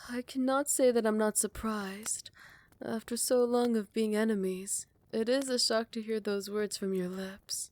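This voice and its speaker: A woman voicing a proud vampire royal smitten with a rival, in soft, formal, measured speech that often slips toward a whisper, for a romantic ASMR roleplay. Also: a little high, fairly even intonation, lightly acted. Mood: earnest, admiring. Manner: formal, archaic, measured courtly phrasing. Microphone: close microphone, dry.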